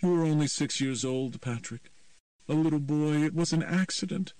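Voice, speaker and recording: A man voicing a grieving mother who speaks firmly and gently as she forgives. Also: a little high, moderate pace, no echo, recorded from a distance, voice pushed up, lightly acted. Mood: earnest.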